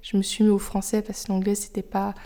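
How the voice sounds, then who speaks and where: A young woman with French as her first language hosts a personal podcast, thinking aloud in a soft, confiding way that sometimes sinks nearly to a whisper. Her voice is warm and mellow and sits at a middle pitch. She sounds reflective and unhurried, like someone sharing her own thoughts with listeners. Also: monotone. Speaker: podcast host.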